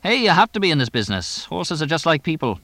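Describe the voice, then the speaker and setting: A man voicing a fast-talking English horse trainer in an audiobook, drily blunt, chatty and deadpan in a clipped way. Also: a little high, dry recording, pitched above the performer's own voice, exaggerated voice. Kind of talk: audiobook character dialogue.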